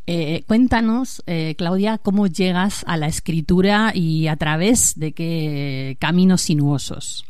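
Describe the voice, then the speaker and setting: A woman's voice: lowish voice, slow, few pauses, darkish tone, clean tone, no room sound, clean studio sound. A woman hosting a conversational literary interview on a podcast. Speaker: podcast host interviewing a writer.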